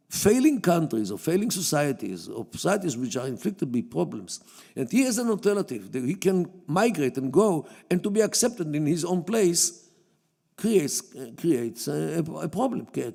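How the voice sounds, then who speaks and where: Yiddish-speaking guy giving a talk in a big echoey hall, gravelly voice, lots of uhs and restarts. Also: on the high side, mellow. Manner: halting, many fillers and restarts. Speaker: guest lecturer. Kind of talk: public lecture. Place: synagogue hall. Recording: long hall reverb.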